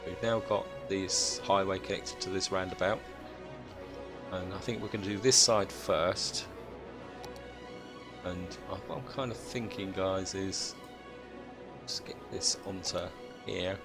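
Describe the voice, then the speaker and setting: A British man with a croaky voice from a chest cold, chatting casually as he thinks aloud through a city-building game. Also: low voice, steady tone, unhurried, pauses often, music in the background. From Britain. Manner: thinks aloud, chatty, addresses viewers as guys. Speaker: gameplay commentator.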